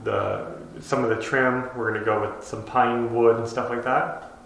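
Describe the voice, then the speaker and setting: A man's voice: mid-range voice, steady tone, normal speed, creaky, fairly bright tone. A man in his thirties talking casually to camera, explaining things as a home renovation vlogger. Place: empty bedroom under renovation, indoors. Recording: very echoey empty room.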